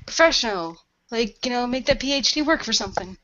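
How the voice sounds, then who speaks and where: A woman's voice: a little high, expressive, brisk, fairly bright tone. A woman playing a flippant, slangy ghost hunter in an improvised role-play, joking and rambling casually. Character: flippant, deadpan female ghost hunter preferring the dead. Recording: slightly dull voice chat audio.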